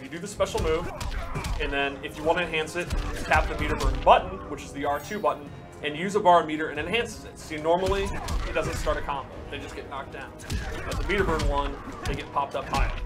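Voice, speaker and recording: A man's voice: a little high, quick pace, music in the background. A man casually explaining fighting game moves in an instructive, enthusiastic way.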